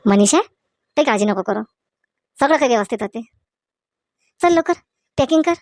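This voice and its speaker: Young cartoon husband done by a woman, devoted, encouraging, high for a man, dry sound. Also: expressive, moderate pace, darkish tone, no room sound, lightly acted. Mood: reassuring. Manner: reassuring, encouraging. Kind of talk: Marathi comedy cartoon dialogue.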